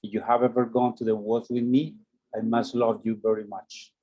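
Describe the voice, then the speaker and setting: A man's voice: medium pitch, flat delivery, normal speed, warm tone, slightly rough, lo-fi sound. A man taking part in a class, reading aloud and speaking reflectively. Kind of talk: poem read aloud, brief reflective comments.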